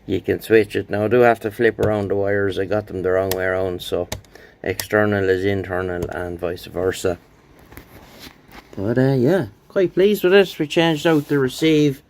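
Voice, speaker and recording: A man's voice: lowish voice, gravelly, a little mellow. A man giving a casual, rambling, understated hands-on show-and-tell as a hobbyist, with some hesitation. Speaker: radio hobbyist presenting a rebuilt CB radio.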